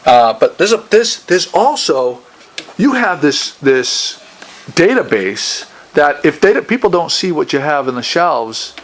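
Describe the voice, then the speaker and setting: A man doing a casual, colloquial interview in a halting, enthusiastic way, as the host. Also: on the high side, sing-song. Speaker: interviewer, local video host. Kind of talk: casual chat, informal interview. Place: small gallery shop indoors.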